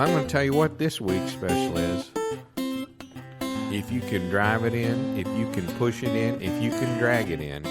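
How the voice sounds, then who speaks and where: Guy doing a folksy car lot owner radio ad, low voice, swingy and feisty, music in it. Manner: rambling, emphatic salesman patter. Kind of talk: comic local radio ad pitch.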